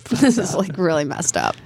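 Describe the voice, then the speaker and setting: A woman's voice: lowish voice, a little mellow, slightly rough, dry recording. A chatty woman in her late twenties with a slight Chicago accent, casually chatting and laughing on a podcast. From Ohio, Cincinnati. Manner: chatty, curious, laughs often, swears casually.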